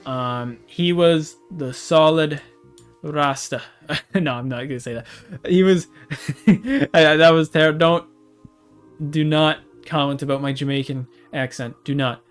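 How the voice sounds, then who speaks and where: Young male host, casual and halting, smooth tone, light music bed. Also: fairly high pitch. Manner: rambling, hesitant, many restarts. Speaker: gaming video host. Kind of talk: casual unscripted commentary.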